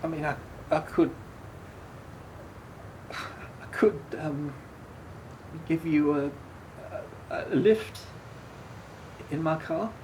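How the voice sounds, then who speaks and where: Actress doing a guilt-ridden woman in a radio play, slow and stammering, awkward, bit of background noise. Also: low voice, a little mellow, low-quality recording. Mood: nervous, awkward. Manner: hesitant, stammering, halting. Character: guilt-ridden, awkward driver, remorseful and unsure. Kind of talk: naturalistic radio drama dialogue.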